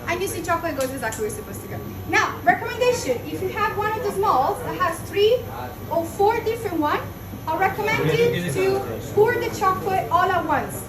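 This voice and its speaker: A woman from Italy, guiding a group through a chocolate-making workshop in a fast, chatty, upbeat way and correcting herself as she goes. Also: extremely high pitch, lively intonation. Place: chocolate factory workshop room. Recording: echoey distant mic with background noise.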